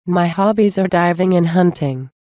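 A woman reading English example phrases for a language lesson, saying many of them twice in a measured way. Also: on the low side, dark tone, no room sound, studio quality. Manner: measured, repeats each phrase slowly. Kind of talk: slow scripted phrase reading.

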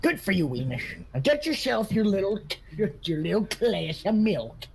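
A man's voice: high-pitched, big pitch swings, moderate pace, creaky, a little mellow, a little hiss or hum, exaggerated voice. A man playing a bumbling, sneering country sheriff who stammers and repeats his words in a comedy game roleplay. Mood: sneering, smug.

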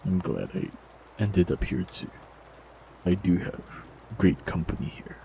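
A man with a very deep, gravelly voice speaks calmly and gently into a close mic, with a soft teasing edge. He performs a scripted fantasy audio roleplay. A faint hiss sits under the recording. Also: a little slow, low-quality recording. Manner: calm, gentle, softly teasing.

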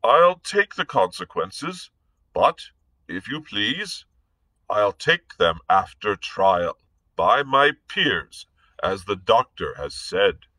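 A man's voice: on the low side, big pitch swings, slow pace, pushed and bright, no echo, exaggerated voice. A man doing a feeble wounded lord. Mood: weary. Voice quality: weak voice.

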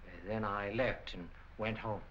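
Hesitant older man, bright-voiced. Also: lowish voice, fairly even intonation. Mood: mild, polite. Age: 60s. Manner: hesitant, with many pauses. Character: mild, hesitant older male witness. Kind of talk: 1940s film acting. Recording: dull, noisy 1940 film soundtrack.

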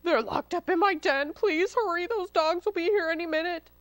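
A woman's voice: sits high, a little fast, dry recording. A woman voicing a mean, tricky old male fox with a greedy, cajoling, pleading manner in a children's story. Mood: pained, pleading.

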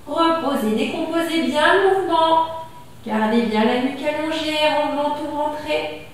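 A French woman in her thirties leading a gentle exercise class, calmly cueing each breath and movement as she does the exercise on a mat. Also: sits high, normal speed, a little hiss or hum. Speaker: fitness instructor. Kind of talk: guided exercise class instruction. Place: small gym studio with wall bars, indoors. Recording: echoey room from far off.

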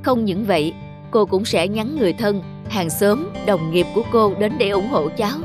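An actress plays a kind middle-aged woman. She speaks earnestly and gratefully, in measured phrases, over clearly audible music. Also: mid-range voice, expressive, natural voice. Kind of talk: cartoon dubbing.

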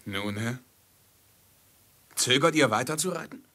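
Clear-cut, bright-voiced servant. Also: male voice, mid-range voice, expressive, exaggerated voice. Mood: sober, dry. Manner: measured, deferential, poetic phrasing. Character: dutiful male servant, level-headed, gently dry. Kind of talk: 1950s German radio play acting. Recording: 1950s mono radio recording with music.

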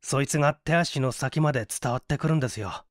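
A man's voice: mid-range voice, a little fast, no echo, studio quality, close to an everyday voice. A man voicing a nervous, earnest and polite young man in a story reading. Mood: bravado.

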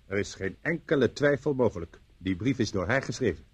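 A dutiful police subordinate in a radio play, speaking. His voice is fairly low and husky. He reports deferentially and matter-of-factly, with slight hesitancy. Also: expressive, brisk, no room sound. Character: dutiful male police assistant to an inspector. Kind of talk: Dutch radio play acting.

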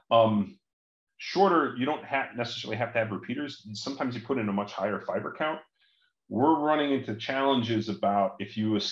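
Low-voiced man presenting in a roomy space. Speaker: conference presenter. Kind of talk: explanatory technical presentation. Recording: roomy, dull video call audio.

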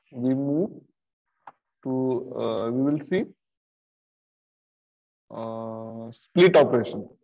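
A middle-aged man teaching programming over a screen-share video call, talking slowly and haltingly with many fillers and repeated okays. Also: medium pitch, gravelly. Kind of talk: screen-share coding lesson. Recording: dull lo-fi sound.

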